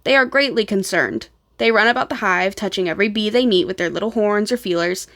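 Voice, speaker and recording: A woman reads the part of a kindly old grandfather from a children's storybook. He is gentle and knowing, talking to children in old-fashioned, explanatory sentences. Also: mid-range voice, brisk, natural voice. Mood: explaining.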